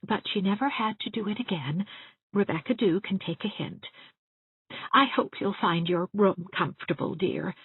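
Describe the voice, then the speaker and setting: A woman as a chatty, husky elderly aunt. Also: mid-range voice, narrow, tinny audio. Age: 60s. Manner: rambling, confiding, genteel. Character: gentle, chatty, timid elderly widow aunt. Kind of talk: audiobook character acting. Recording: roomy, thin band-limited sound.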